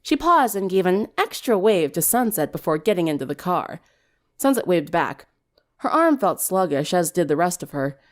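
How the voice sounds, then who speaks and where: A woman's voice: lowish voice, big pitch swings, normal speed. A woman reading fan fiction aloud as dramatic story narration.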